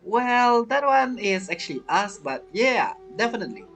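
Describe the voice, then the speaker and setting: A man streaming a game with casual commentary, thinking aloud in halting, non-native phrasing. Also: sits high, sing-song, a bit bright, soft background music. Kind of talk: casual let's play commentary.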